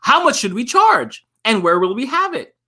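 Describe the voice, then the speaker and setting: A man's voice: very high voice, sing-song, normal speed, sharp tone, voice pushed up, full character voice. A man voicing a cheeky, helpful schoolboy best friend in a storybook. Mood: keen, cheeky.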